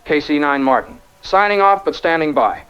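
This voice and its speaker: A man's voice: a little high, animated, normal speed, clear, resonant tone, natural voice. A man playing a resigned, sincere ham radio operator speaking solemnly in an apocalyptic radio drama. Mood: resigned, farewell. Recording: dull radio sound, rain and music.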